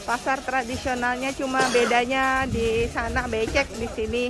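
A woman's voice: a little high, little pitch movement, normal speed. An older woman chatting casually on a walking vlog, talking to her viewers as she strolls an outdoor market, phone held at arm's length. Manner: chatty, addresses viewers as guys. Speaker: vlogger. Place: busy outdoor market street. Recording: loud crowd noise. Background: crowd chatter.

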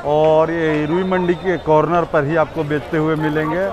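A man's voice: on the high side, flat delivery, normal speed. A man vlogging through an outdoor street market, asking stall sellers for prices and repeating each one back to his viewers. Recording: loud crowd noise, phone mic outdoors. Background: crowd chatter.

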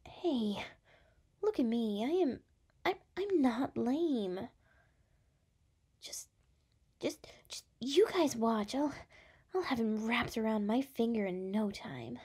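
Woman acting a slow, breathy, brash young gal. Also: a little high, animated, warm tone, full character voice. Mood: flustered, defensive. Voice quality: breathy, often dropping into whispers. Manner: halting, teasing, exasperated. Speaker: voice actress. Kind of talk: ASMR roleplay.

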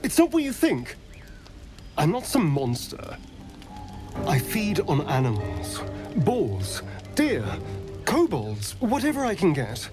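Male vampire, suave, sly, needy, theatrical, unhurried, very animated pitch, game character, faint music behind. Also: heavily acted. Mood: pleading, panicked. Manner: theatrical, dramatic delivery with drawn-out phrases. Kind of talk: video game character acting.

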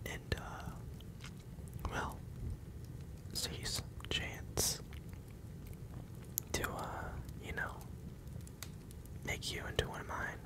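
A man voicing a calm, coaxing werewolf captor in an ASMR roleplay, close to the microphone, with soothing, slightly hesitant instructions. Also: drawn-out words, long pauses. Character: male werewolf captor, coaxing and calmly in control.

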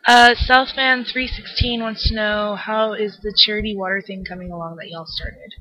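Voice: medium pitch, fairly even intonation, normal speed, muffled recording. An interview host asking questions in a chatty, informal, somewhat hesitant way.

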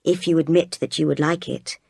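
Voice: medium pitch, fairly even intonation, fairly quick, vocal fry, a little mellow, slightly rough, dry recording, studio quality. A narrator voicing an earnest young woman schoolteacher in a storybook, polite and direct. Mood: gently persuading.

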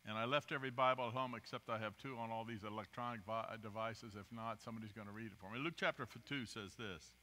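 An older man preaching a rambling, self-deprecating storytelling sermon at a podium microphone in a church sanctuary, swinging between loud emphasis and quiet asides. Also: on the low side, fast, hardly any pauses. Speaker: guest preacher. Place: church sanctuary, large indoor room. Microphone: podium microphone, filmed from the pews. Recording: reverberant church hall.

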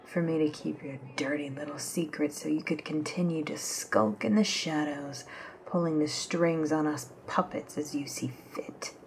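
A woman's voice: sits low, steady tone, moderate pace, gravelly, slight background noise. A woman playing a smug, mysterious taunter in an audio drama, half-whispering in a menacing drawl. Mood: smug, taunting.

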